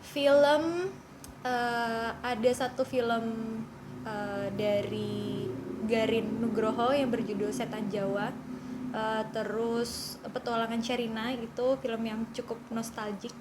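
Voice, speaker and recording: A woman's voice: fairly high pitch, fairly even intonation, slow pace, heavy background noise. A woman from Yogyakarta answering interview questions eagerly, with some fillers.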